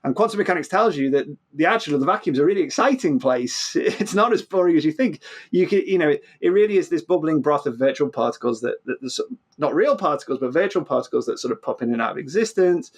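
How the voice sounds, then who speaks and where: A man's voice: on the high side, lively intonation, fast talker, slightly rough. A man explaining things as an interview guest, often stammering and repeating the start of his phrases. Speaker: physicist guest. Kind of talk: animated explanatory interview talk.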